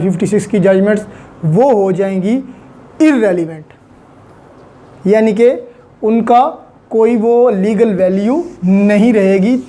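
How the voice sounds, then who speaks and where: Female law lecturer, Pakistani accent, very mellow dark tone, methodical and repetitive explanatory delivery. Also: medium pitch. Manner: methodical, repeats key points in short phrases. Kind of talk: explanatory lecture reviewing legal articles.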